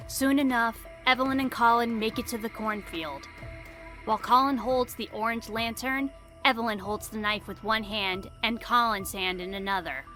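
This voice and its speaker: A woman's voice: on the high side, normal speed, sharp tone, soft background music. A woman reading scripted storybook narration for an audio drama.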